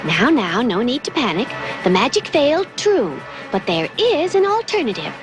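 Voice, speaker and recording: A magical lady schoolteacher in an old TV cartoon. She speaks high and with lively swings, yet stays proper and reassuring. Loud music plays under her voice. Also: normal speed. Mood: calm, reassuring. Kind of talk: 1970s TV cartoon voice acting.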